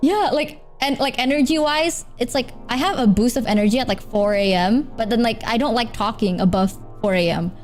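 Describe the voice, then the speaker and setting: A woman's voice: fairly high pitch, fairly quick, few pauses, darkish tone, faint music behind. A woman, chatting on stream in a rambling, giggly way, leaning on filler words. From Indonesia. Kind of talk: casual chat.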